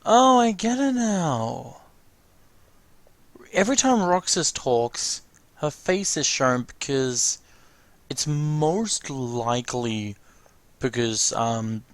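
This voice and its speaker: A man's voice: mid-range voice, no room sound. A man giving casual, tentative commentary as he reacts to video game cutscenes, thinking aloud. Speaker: let's play host. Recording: faint game music behind.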